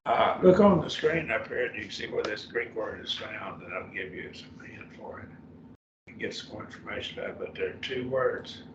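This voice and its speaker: An older man with a raspy, gravelly voice teaches a Bible class in a plain-spoken way, often letting his sentences fade. His low voice comes from some distance in an echoey room. The recording sounds dull and muffled. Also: animated, a little fast, noisy background. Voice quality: raspy after throat surgery. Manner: plain-spoken, explains word by word, often trails off softly. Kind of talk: Bible study class lecture. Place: church classroom.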